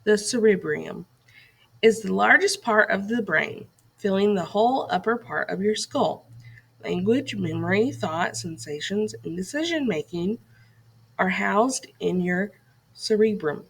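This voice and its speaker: Woman reading aloud with lively, varied pitch. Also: unhurried, creaky, breathy edge, low-quality recording. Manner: instructional, addressing young listeners with questions and directions. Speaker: teacher reading a lesson aloud. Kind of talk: scripted educational read-aloud for children.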